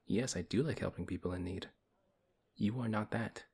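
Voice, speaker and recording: Man acting as a deep, husky, stern paladin. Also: a little mellow. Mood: stern, skeptical. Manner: stern, measured, dryly skeptical. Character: big strong male paladin, principled, wary, reluctantly helpful. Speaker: audio roleplay performer. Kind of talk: ASMR audio roleplay acting.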